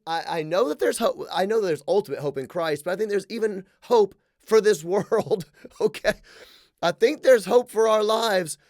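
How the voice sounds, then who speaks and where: Guy in his 30s ranting on his podcast, high-ish voice, sarcastic, super dry close sound. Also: no room sound. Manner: animated, sarcastic, quick to joke. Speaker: podcast host. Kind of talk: casual solo podcast rant. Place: small room with wood-plank wall.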